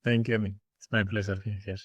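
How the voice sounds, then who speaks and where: A man from Spain with a Spanish accent, answering interview questions in a halting way with many fillers and restarts. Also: on the low side, level tone, no echo. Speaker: interview guest. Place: studio. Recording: dry studio sound.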